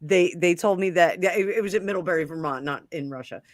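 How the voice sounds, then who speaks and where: A woman with a New Jersey accent, co-hosting a casual livestream and chatting in an animated way. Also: on the low side, quick pace, no room sound, clean studio sound. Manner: animated, chatty, laughs a lot. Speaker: co-host of a tarot livestream. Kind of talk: casual chat.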